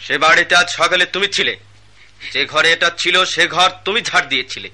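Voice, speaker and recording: An actor playing a stern, commanding police officer in a radio drama. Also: male voice, a little high, a little fast, pushed and bright. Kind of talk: Bengali radio play acting.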